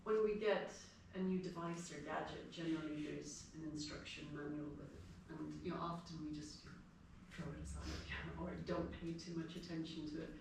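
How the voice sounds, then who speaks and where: A middle-aged woman with an Irish accent, giving an informal spiritual class in a measured, explanatory way. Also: low-pitched, fairly even intonation, gravelly, a little mellow. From Ireland. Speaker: guest speaker giving a devotional class. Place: house gathering room, indoors. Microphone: distant from the speaker. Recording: echoey room, distant mic, noisy.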